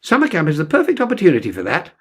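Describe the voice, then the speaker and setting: A man's voice: fairly high pitch, wildly swooping pitch, very fast, fairly bright tone, breathy edge, heavily acted. A man voicing a firm, no-nonsense mother in a novel reading. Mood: firm insistence. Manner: decisive, no-nonsense. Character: firm mother, brisk and insistent.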